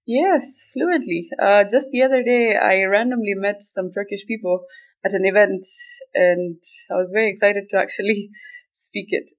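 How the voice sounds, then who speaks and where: A woman's voice: medium pitch, normal speed. Laughing Kenyan woman in her twenties. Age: 20s. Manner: relaxed, laughs often. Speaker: guest. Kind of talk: casual interview.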